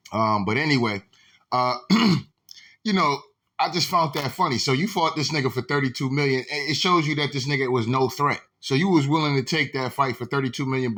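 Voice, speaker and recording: Bright-voiced man ranting profanely. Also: mid-range voice. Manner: profane, repetitive, fired-up. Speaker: video host. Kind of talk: casual ranting commentary.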